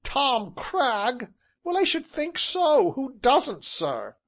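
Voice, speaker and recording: A man voicing a tall, jovial gentleman who gushes and laughs at his own joke in a high voice. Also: sing-song, a little slow, full character voice. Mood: gleeful. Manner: mocking, laughing, gushing.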